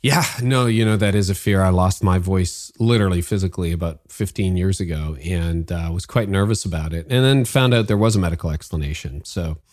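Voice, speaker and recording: A man's voice: sits low, brisk, hardly any pauses, darkish tone, dry recording, clean studio sound. A man hosting a podcast interview, asking curious, conversational follow-up questions. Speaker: podcast host.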